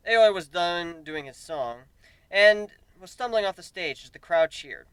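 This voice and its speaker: A man giving an expressive, emphatic dramatic reading of a first-person story, as an amateur narrator. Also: high voice, unhurried, clean tone, no room sound, poor audio.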